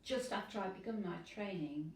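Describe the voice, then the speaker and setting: A woman priest who lives with hearing loss, speaking earnestly and in measured phrases in an interview. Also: medium pitch, a little mellow, roomy sound, distant mic, slight background noise.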